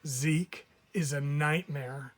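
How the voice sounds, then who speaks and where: A man voicing a kindly, soft-hearted old man in a storybook, speaking in a gentle, deliberate way. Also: fairly high pitch, fairly even intonation. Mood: weary.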